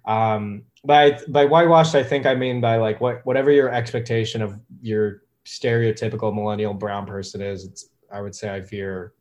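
A man in his thirties, a guest talking casually in an interview, with a relaxed, hesitant way of talking full of fillers and restarts. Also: fairly low pitch, moderate pace, few pauses, highs cut off. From United States. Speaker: guest, music producer.

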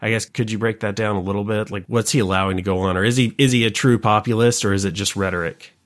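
A man's voice: lowish voice, fairly even intonation, fast. A man interviewing a guest on a podcast, phrasing his questions conversationally in a tentative, roundabout way.

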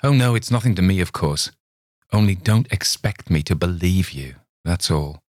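A man's voice: low voice, lively intonation, moderate pace, no room sound, studio quality. A man voicing an impulsive young woman who pleads and implores dramatically. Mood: pleading. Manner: breathless, imploring.

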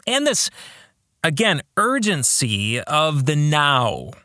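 A man's voice: a little high, huge pitch swings, takes it slowly, pushed and bright, no echo, clean studio sound. A man hosting a podcast in a reflective monologue, speaking to listeners with earnest, emphatic phrasing. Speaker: podcast host and author, reading own book.

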